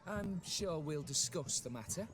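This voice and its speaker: An actor playing a wary young village groom who answers curtly and guardedly. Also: male voice, on the high side, lively intonation, unhurried. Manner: guarded, curt.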